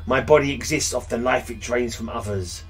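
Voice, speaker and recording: Guy reading as a sad cursed loner who kills what he touches, gloomy and low-key. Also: normal speed, faint music behind, lightly acted. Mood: brooding. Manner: brooding, mournful. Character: lonely cursed man who drains life by touch. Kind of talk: comic book reading.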